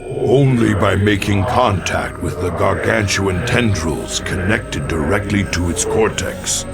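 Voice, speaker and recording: An actor playing a grave, ancient alien warrior mystic in a game, speaking in a solemn, formal, deliberate way. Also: male voice, low-pitched, vocal fry, loud music behind the voice. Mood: grave recounting. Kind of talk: dramatic game narration.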